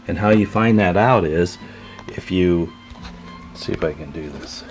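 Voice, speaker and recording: A man with a low voice walks through a hands-on repair demonstration. He speaks informally and a little haltingly, working things out aloud as he goes. Faint music sits behind him. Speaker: video host demonstrating CB radio tune-up. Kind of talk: casual hands-on repair tutorial.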